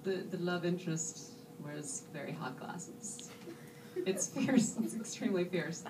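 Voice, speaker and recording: Measured woman author in an echoey room. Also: on the low side, recorded from a distance, noisy background. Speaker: author on a panel. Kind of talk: panel answer to fan question. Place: bookstore event with a live audience.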